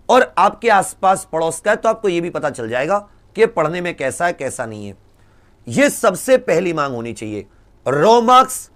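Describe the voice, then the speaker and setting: A man speaks in a fairly high voice with very lively, swinging intonation. He addresses students like a coaching teacher rallying them, emphatic and urging, throwing out rhetorical questions and repeating key phrases.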